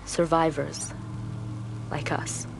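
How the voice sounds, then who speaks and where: A woman playing a disillusioned, frightened runaway cult member in a video game, hushed, halting and breathy. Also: low-pitched, flat delivery, background noise, full character voice. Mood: haunted. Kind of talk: video game cutscene acting.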